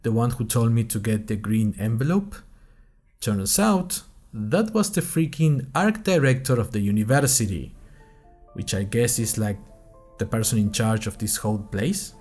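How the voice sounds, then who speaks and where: Man, middling pitch, scripted podcast storytelling, conversational, wry asides, background music. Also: lively intonation, normal speed, a little mellow. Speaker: podcast narrator. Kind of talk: scripted first-person fiction narration.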